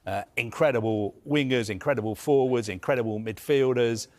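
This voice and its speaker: A man's voice: medium pitch, a little fast, a little mellow. A man giving live news analysis as a football reporter, earnest and emphatic, building his points through lists.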